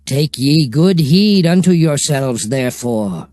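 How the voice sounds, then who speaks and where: Man acting Moses, solemn, slow and high-voiced. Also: animated, a little mellow, no room sound, studio quality. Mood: grave, commanding. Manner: solemn, declamatory, emphatic oratory. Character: Moses, solemn male prophet recounting God's commands to Israel. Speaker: Bible narrator. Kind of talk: dramatized King James scripture reading.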